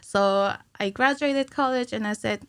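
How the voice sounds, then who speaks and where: A woman's voice: a little high, unhurried, a bit bright, smooth, no room sound. Young woman chatting, dry studio sound. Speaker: podcast guest, cinematic animator. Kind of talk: casual conversational interview. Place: small curtained podcast studio. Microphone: studio microphone on stand, close.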